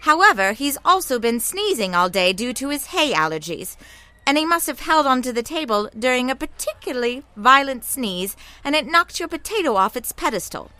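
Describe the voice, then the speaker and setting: Bright, confident young girl detective. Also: sits high, big pitch swings, no echo, heavily acted. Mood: self-satisfied. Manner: brisk, self-assured. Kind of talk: comic audio drama acting.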